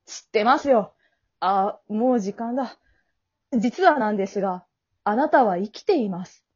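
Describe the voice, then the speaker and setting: A woman's voice: medium pitch, animated, measured pace, slightly rough, lo-fi sound. A woman acting in an amateur radio drama as a polite, kindly male guide of the afterlife, speaking in an earnest, businesslike, reassuring way. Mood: calm, explanatory.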